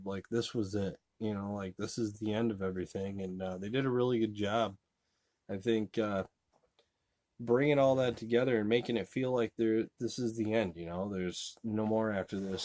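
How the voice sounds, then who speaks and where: A man's voice: mid-range voice, a little mellow, no echo. A man giving a casual, rambling movie review, full of fillers like "you know" and restarts. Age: adult.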